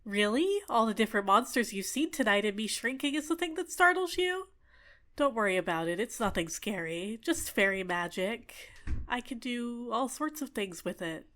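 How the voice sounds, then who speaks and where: A woman's voice: on the high side, normal speed. Woman playing a gushing, possessive little fairy. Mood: playful, sly. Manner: excitable, gushing, coy, chatty. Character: bubbly, clingy, possessive female fairy, sweetly menacing. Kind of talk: ASMR roleplay, scripted character acting.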